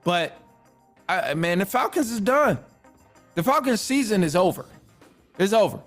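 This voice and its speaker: A man with a high-pitched voice hosts a casual sports show. He rambles in exasperation, repeating phrases for emphasis and peppering them with slang and mild curses. Faint music plays behind him. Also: big pitch swings, unhurried, a bit bright. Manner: informal slang, mild swearing. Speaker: podcast host. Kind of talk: casual sports rant to listeners.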